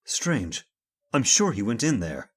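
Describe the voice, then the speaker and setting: A man acts out a stubborn, commanding gentleman from an old-time radio horror play. His pitch swings widely as he gives impatient orders in formal, old-fashioned phrases. He sounds stern and fully in charge. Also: very animated, slow, slightly rough, full character voice. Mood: determined. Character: Mr. Winton, stern, stubborn master leading a haunted-house search.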